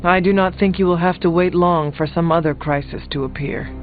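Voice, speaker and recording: An actor playing a solemn, blunt, ancient resurrected warrior in a video game, speaking formally and calmly. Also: male voice, high-pitched, lightly acted. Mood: calm, dry. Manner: formal, measured, few contractions. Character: centuries-old resurrected male warrior, solemn, blunt, calm. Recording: phone-like thin audio, music behind.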